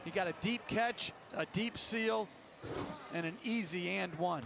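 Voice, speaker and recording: High-pitched male basketball analyst in a noisy arena. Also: unhurried. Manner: explaining tactics. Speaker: television game analyst. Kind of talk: analytical live basketball commentary. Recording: clear arena noise, bouncing basketballs.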